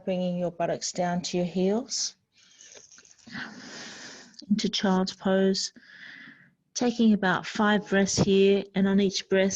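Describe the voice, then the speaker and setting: A woman teaching yoga, giving gentle, soothing, unhurried guided instruction with pauses between phrases. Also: medium pitch, lo-fi sound.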